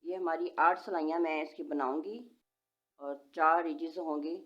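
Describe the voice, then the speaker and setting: A woman's voice: deep voice, steady tone, normal speed, fairly bright tone. A woman, patiently giving step-by-step knitting instructions and counting stitches aloud. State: sore throat, voice slightly changed. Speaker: knitting tutorial host. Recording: dull lo-fi home recording.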